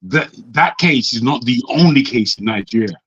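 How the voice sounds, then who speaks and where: A man on a debate panel speaking in a measured way, trying to calm the argument. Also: mid-range voice, husky, dry recording. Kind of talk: heated debate. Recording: dry, dull lo-fi call audio.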